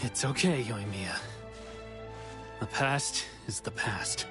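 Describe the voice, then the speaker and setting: A man voicing a weary captive swordsman who speaks in a quiet, resigned way in a video game. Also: medium pitch, slow pace, natural voice. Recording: game audio over loud music.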